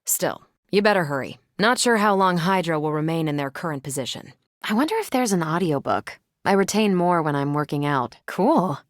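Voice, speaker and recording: A woman's voice: on the low side, animated, normal speed, no echo, clean studio sound, lightly acted. An actress playing a confident, brash and loyal female superhero in a video game, with a wry, casual, self-assured way of talking.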